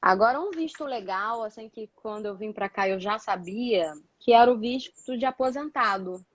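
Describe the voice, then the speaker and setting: Brazilian woman guest explaining stuff casually, highish voice, chatty, very dry sound. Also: normal speed, no echo. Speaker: guest, doctoral student living in Portugal.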